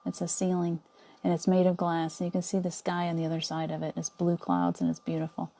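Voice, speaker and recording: A middle-aged woman leading a guided visualization meditation in a soothing, coaxing way, often dropping to a soft whisper. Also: lowish voice, brisk.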